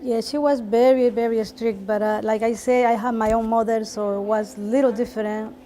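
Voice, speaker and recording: Flat, mellow woman. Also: fairly high pitch, moderate pace, low-quality recording. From El Salvador. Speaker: interviewee, cousin. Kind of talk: documentary interview.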